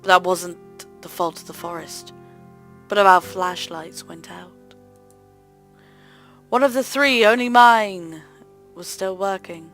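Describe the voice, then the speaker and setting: Bright-voiced woman reading a story dramatically. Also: on the low side, slow pace, pauses often, soft background music. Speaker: host of a gaming let's play channel. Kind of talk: reading visual novel narration aloud, dramatic storytelling.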